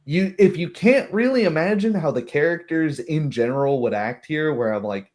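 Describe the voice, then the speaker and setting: Guy just chatting about running tabletop games, game master type, animated, does little imagined reactions as he goes. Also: fairly high pitch, normal speed.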